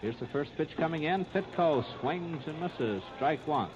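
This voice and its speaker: American male play-by-play baseball announcer, 1930s radio, higher-pitched voice, scratchy old disc sound. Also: expressive, unhurried. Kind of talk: 1930s radio sports commentary. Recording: scratchy, muffled 1939 radio disc recording.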